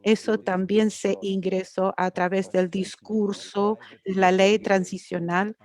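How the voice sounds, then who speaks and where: A woman interpreting an academic lecture live, halting and correcting herself as she goes. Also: medium pitch, little pitch movement, darkish tone. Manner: halting, with frequent restarts and self-corrections. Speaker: conference interpreter.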